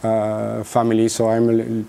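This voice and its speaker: Brief: French-accented man, very dark, gravelly voice, hesitant interview guest. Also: lowish voice, completely flat delivery. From France. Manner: halting, many fillers and restarts. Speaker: real estate company guest. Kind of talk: interview answers.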